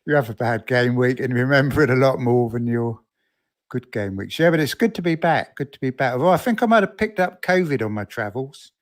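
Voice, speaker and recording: Hoarse man in casual chat. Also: mid-range voice, lively intonation, a little fast. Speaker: podcast co-host.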